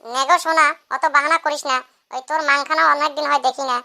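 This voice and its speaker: A performer as a high-voiced grumbling cartoon husband. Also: steady tone, quick pace, vocal fry, sharp tone, heavily acted. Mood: grumbling, insistent. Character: grumbling, pestering drunkard husband in a comic cartoon. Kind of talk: comic cartoon dialogue.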